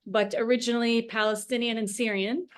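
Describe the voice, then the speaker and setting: Woman, American accent, eager, video call, higher voice. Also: flat delivery. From United States. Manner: enthusiastic, halting with fillers. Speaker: caller joining a cook-along. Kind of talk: casual video-call chat.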